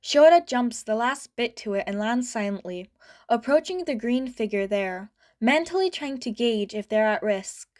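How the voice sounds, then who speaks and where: A woman reading fan fiction aloud as a podfic, narrating in a light, steady way. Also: fairly high pitch, normal speed. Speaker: podfic reader.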